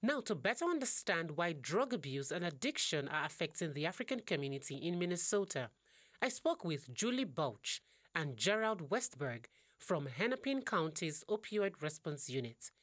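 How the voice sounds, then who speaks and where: A woman news presenter interviewing a correspondent in a measured way. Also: deep voice, big pitch swings, no echo, clean studio sound. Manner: measured, asks long questions. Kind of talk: broadcast interview.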